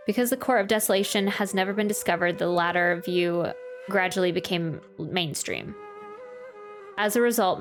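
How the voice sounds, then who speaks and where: A woman streaming a game playthrough, reading text aloud and reacting with casual commentary. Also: medium pitch. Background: faint game music.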